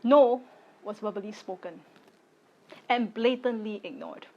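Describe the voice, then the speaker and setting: A woman in her late twenties from Singapore, giving a personal video statement in deliberate, firm, short phrases. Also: mid-range voice, a little mellow, dull audio. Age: late 20s. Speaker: content creator.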